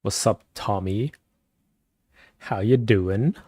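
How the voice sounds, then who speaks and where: A man chatting casually with viewers on a live stream, speaking softly and keeping his voice down at night at home, and laughing often. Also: slow pace, husky, no room sound. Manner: soft-spoken, keeps voice low at night.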